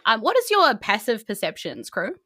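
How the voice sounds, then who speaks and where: A woman's voice: fairly high pitch, huge pitch swings, normal speed, pushed and bright, no echo, clean studio sound. A woman with a New Zealand accent, narrating a tabletop game as dungeon master in casual, colloquial language.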